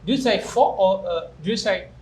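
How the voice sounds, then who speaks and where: A man's voice: high voice, a little fast, a little mellow. A man interviewing his guest, who presses him with repeated, probing questions. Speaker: interviewer, English teacher.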